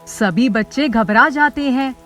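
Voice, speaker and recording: High-voiced woman narrating a children's story. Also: measured pace, fairly bright tone, faint music behind.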